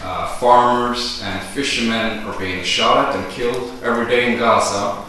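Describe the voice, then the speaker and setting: A man's voice: mid-range voice, fairly even intonation, normal speed, pushed and bright. A man speaking as an activist on a debate panel, earnest, with filler ums. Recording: echoey hall, distant mic, faint noise.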